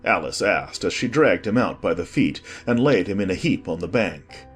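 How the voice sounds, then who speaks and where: A man reads a storybook as narrator. His voice is fairly low and rough-edged, and it moves with lively expression. Faint music plays underneath. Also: normal speed.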